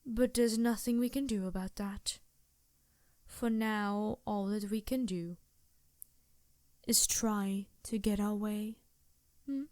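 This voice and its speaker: Woman as scheming succubus ally for intimate ASMR roleplay: soft-spoken, seductive, unhurried, very close and dry sound. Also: mid-range voice, fairly even intonation, no echo, natural voice. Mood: pensive. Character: scheming female succubus demon, seductive, reluctant but loyal ally.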